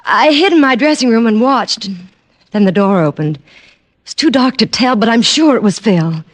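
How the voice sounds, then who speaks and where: A sultry frightened client. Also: female voice, on the high side, lively intonation, moderate pace. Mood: anxious. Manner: sultry, suggestive. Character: seductive woman client claiming her husband wants her dead. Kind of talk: 1950s radio drama acting. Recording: old radio broadcast recording.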